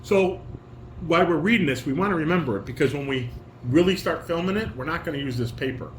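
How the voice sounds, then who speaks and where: A man's voice: a little high, animated, fast talker. A middle-aged man casually coaching a read-through, reading stage directions aloud and correcting step by step in a roomy recording. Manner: instructive, demonstrates and corrects step by step. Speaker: father directing a family table read. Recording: roomy, echoey home recording with background noise.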